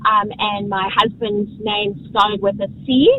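A woman's voice: mid-range voice, flat delivery, measured pace. A middle-aged woman with a South African accent, calling a radio show to tell a story in a hesitant, casual way full of ums. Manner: hesitant, many ums, laughing. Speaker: radio caller. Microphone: phone line. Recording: muffled phone call with road noise.

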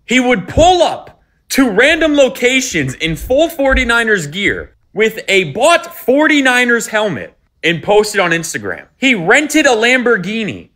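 A man narrates an online clip in a hyped, punchy style. His high voice is bright and swoops animatedly up and down. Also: huge pitch swings, measured pace. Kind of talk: energetic online video narration.

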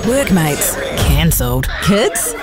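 A woman's voice: low-pitched, huge pitch swings, slow. Punchy woman ad announcer over music. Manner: punchy, clipped delivery. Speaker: radio ad announcer. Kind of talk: punchy ad read.

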